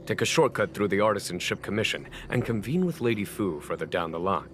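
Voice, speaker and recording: An actor playing a calm, wry military general in a video game, speaking in a measured, formal and courteous way. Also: male voice, lowish voice, a little hiss or hum. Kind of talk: scripted video game dialogue. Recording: background music under the voice.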